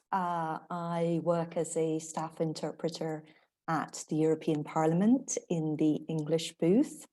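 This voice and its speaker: A middle-aged woman speaks on a panel about her work as an interpreter, explaining at a measured pace with frequent fillers. Her voice sits on the low side and sounds mellow rather than bright. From Ireland. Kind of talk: panel talk.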